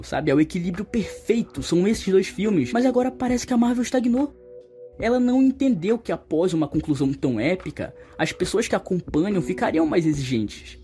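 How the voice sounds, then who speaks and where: A Brazilian man speaks as a video essayist giving his opinion. His tone is warm and relaxed, yet he argues earnestly and leans on key words, often through rhetorical questions. Faint music plays behind him. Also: high voice. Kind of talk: scripted opinion commentary on films.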